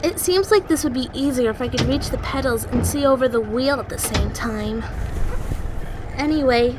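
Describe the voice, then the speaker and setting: A woman's voice: sits high, normal speed, noisy background, lightly acted. An actress playing a plucky, chatty young girl in an audio drama, breezy, wry and teasing.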